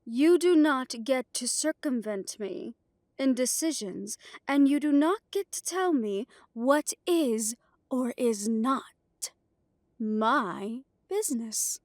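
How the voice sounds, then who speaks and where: Audio drama role: commanding female vampire leader acted by a woman, high-pitched and imperious, recorded dry and close. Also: animated, a little mellow, no room sound. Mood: cold anger. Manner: slow, deliberate, imperious speech.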